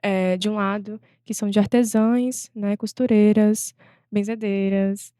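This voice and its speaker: Woman, mellow reflective talk. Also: medium pitch, fairly even intonation, a little slow, clean tone, no room sound, clean studio sound. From Salvador, Brazil. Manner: reflective, many fillers and restarts. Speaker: guest artist. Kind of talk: casual interview chat.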